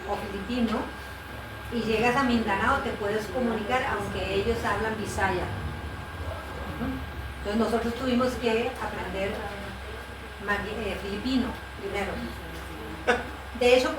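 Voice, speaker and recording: Distant, roomy-sounding woman missionary explaining. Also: a little high. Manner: explanatory, patient, with fillers and self-corrections. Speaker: Bible translator and missionary. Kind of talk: conversational explanation in an interview. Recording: roomy, distant mic with background noise.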